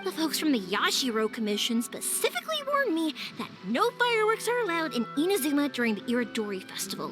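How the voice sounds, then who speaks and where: A kind-hearted young fireworks maker for an animated game. Her voice sits high and swings widely in pitch. She gushes and exclaims in a friendly, open way, with music playing underneath. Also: fast, few pauses. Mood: sheepish. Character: bubbly, kind-hearted young woman who makes fireworks. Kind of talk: animated video game voice acting.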